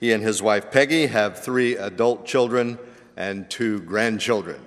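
A man giving a measured, formal introduction of a guest speaker at a seminary chapel. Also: fairly low pitch. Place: large seminary chapel auditorium. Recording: long hall reverb.

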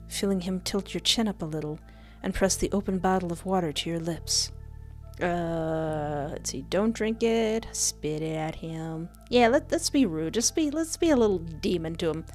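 A young woman reading a visual novel aloud and reacting with cheeky, sassy commentary. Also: fairly low pitch. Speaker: streamer, narrator. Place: indoors at a desk. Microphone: headset and close desk microphone. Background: faint game music.

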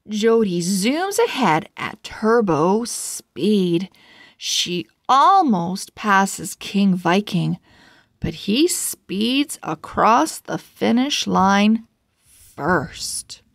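A woman narrates a children's book at a very slow pace. Her phrasing is deliberate and measured, made for young readers. The recording is dry and close, and her pitch sits in a middle range. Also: sing-song, gravelly, no echo. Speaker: children's book read-aloud host. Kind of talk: read-aloud story narration for children.

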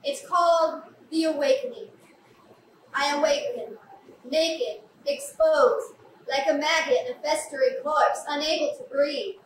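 A woman's voice: high-pitched, measured pace. A young woman playing a brooding, wounded, accusing woman, grave and terse, in a theatrical staged reading. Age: young adult. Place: small café, live staged reading. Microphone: far camera. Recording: echoey, distant camera mic, faint noise.

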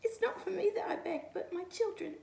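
A woman voicing a small, timid mother rabbit who squeaks and pleads. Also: a little fast, gravelly, a little mellow, distant mic, slight background noise, full character voice. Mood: pleading. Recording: echoey room, faint ambience.

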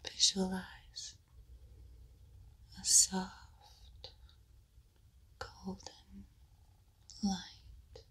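A woman whispering a guided meditation in a slow, soothing voice, leaving long pauses between phrases. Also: medium pitch, monotone, pushed and bright, husky, rough recording. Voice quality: unvoiced whisper.

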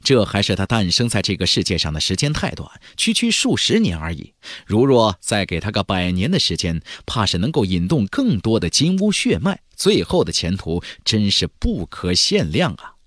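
A man narrating a fantasy audiobook as scripted storytelling, with an expressive, emphatic and dramatic delivery. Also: lowish voice, normal speed, few pauses, no room sound, studio quality.

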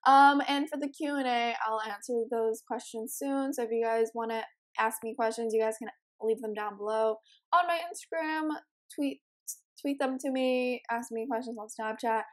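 A teenage girl with a raspy morning voice, chatting casually and giggling while commentating a life-sim game. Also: on the high side, fairly even intonation, normal speed. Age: teens. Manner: chatty, giggly, rambling with many ums. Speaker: let's play host.

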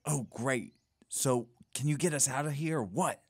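A commanding, short-tempered crook played by a man in an audio drama. He talks gruffly and impatiently at a middle pitch, and his voice rings in an echoey, reverberant space. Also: moderate pace, natural voice. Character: bossy, short-tempered New York crook and heist schemer. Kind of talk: audio theater acting.

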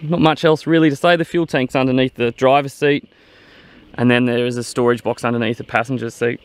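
A middle-aged man giving an unhurried, plain-spoken vlog walkaround, explaining things casually outdoors. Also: medium pitch, flat delivery, clean tone. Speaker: vlogger, owner of a vintage off-road vehicle. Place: outdoors in open grassy bushland. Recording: windy outdoor noise.

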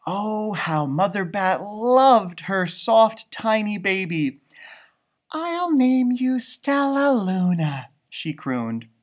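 Very animated man reading to children. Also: high voice. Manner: expressive, playful, asks listeners questions. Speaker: elementary school storybook reader. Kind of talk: animated children's read-aloud with asides. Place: indoors, school library.

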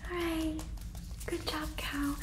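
A woman's voice: sits high, little pitch movement, takes it slowly. A young woman playing an earnest, awkward alien in an ASMR sitcom, with stilted, formal, whispery delivery. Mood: encouraging, proud. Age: young adult. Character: earnest, awkward female alien examining a supposed cow. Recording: roomy echo, faint background noise.

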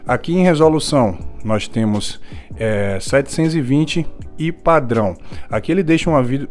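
A man's voice: medium pitch, darkish tone. A man with a Brazilian accent giving a casual, explanatory product walkthrough as a tech reviewer, often checking in with small tag questions. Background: background music.

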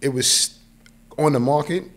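A man's voice: fairly high pitch, a little slow, fairly bright tone. A man in his forties with a New York accent, telling a personal story casually as a podcast guest, often checking in with the listener. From New York, United States. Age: 40s. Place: small indoor podcast studio. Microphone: close podcast mic on boom arm.

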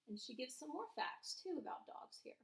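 A young woman, an elementary school teacher, reading and talking to young children in a clear, deliberate way in a small room. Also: high-pitched, very animated, roomy sound. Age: young adult. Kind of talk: children's read-aloud and chat to pupils. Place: small plain room indoors. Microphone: camera mic a few feet away.

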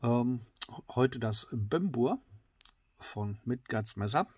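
A man's voice: mid-range voice, slow, breathy edge, no room sound. Man with a slight cold, chatty knife review, lots of ums, dry close sound. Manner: chatty with many fillers, drops to excited whispers. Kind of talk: casual tabletop product review. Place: indoors at a wooden table.

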